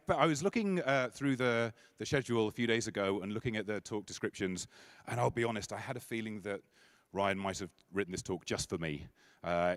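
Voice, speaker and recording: Male MC, lower voice, dry close stage mic, loose joking intro with plenty of ums. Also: dry recording. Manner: chatty and self-deprecating, with many fillers and restarts. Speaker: conference MC. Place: conference stage.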